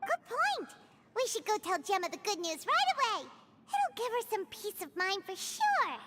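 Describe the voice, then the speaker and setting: A woman voicing a tiny excitable childlike companion in a game. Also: extremely high pitch, moderate pace, heavy background noise, heavily acted. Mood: eager. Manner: bubbly, chirpy.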